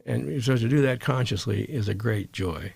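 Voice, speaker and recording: A man speaking as an interview guest and meditation teacher, in a conversational, halting way that repeats words and phrases. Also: medium pitch, creaky, darkish tone, slightly rough, dry recording.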